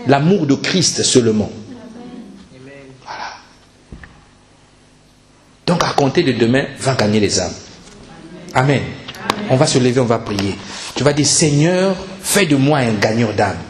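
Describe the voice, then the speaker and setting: A man's voice: medium pitch, unhurried, long pauses. A man preaching a church sermon as a pastor, telling stories and exhorting with emphatic repetition. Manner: animated storytelling with repetition for emphasis. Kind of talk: Sunday church sermon, storytelling exhortation and call to prayer. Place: indoor church service. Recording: roomy church sound with music.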